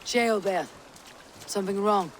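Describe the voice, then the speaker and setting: An actor playing a resolute, unsentimental Viking raider in a video game, speaking in a blunt, clipped manner. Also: male voice, high-pitched, unhurried, a little hiss or hum. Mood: stern. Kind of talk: dramatic video game acting.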